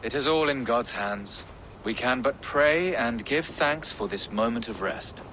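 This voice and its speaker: An actor playing a grave, pious medieval bishop who seeks peace, speaking in a measured, formal way for a video game. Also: male voice, mid-range voice, expressive, bright, forceful tone, a little hiss or hum, rough recording. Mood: solemn.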